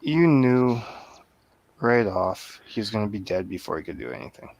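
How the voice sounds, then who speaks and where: A man's voice: on the low side, big pitch swings, moderate pace, vocal fry, crowd noise, low-quality recording. A man bantering casually about films as a panelist, in short, blunt remarks. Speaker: film review show panelist.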